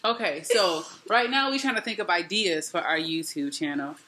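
A woman's voice: lowish voice, moderate pace, bright, forceful tone. A woman, chatting casually and rambling with lots of fillers in a home vlog, recorded on a laptop webcam in a living room. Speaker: vlogger and boutique owner. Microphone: laptop webcam at close range.

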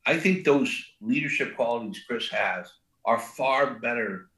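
A man's voice: medium pitch, unhurried, sharp tone, roomy sound, low-quality recording. An older man, a restaurant co-founder, talks in a rambling way with many fillers and restarts and a big voice. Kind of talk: casual interview talk.

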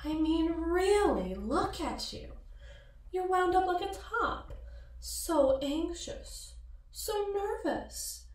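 A woman's voice: extremely high pitch, lively intonation, slow pace, darkish tone, exaggerated voice. A woman playing a sly, seductive, mocking mirror alter ego in an ASMR roleplay, cooing and teasing. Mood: taunting. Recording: echoey, distant-sounding voice.

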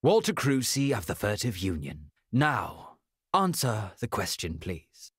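An actor voicing a smug, menacingly polite financial official, speaking in formal, drawn-out theatrical phrases for a video game. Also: male voice, fairly low pitch, sing-song, a bit bright, breathy edge, dry recording, studio quality, heavily acted. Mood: smugly polite.

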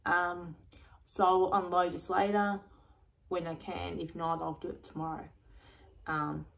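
A woman talking in a rambling personal vlog, repeating herself often, with a voice going croaky. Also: on the low side, level tone, measured pace, rough recording. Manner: repetitive, loose, restarts and repeats herself often. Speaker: vlogger.

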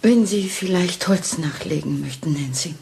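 An actress playing a timid, submissive Victorian wife in a German radio play, hesitant and pleading. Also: female voice, deep voice, moderate pace, vocal fry, a little hiss or hum. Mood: meek, apologetic. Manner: hesitant, pleading, often hushed.

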